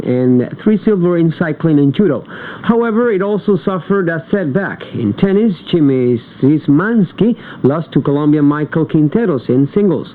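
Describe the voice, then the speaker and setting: Male radio sports newsreader, higher-pitched voice, thin band-limited shortwave sound. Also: phone-quality sound. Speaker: sports news presenter. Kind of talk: scripted radio news reading. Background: shortwave radio reception.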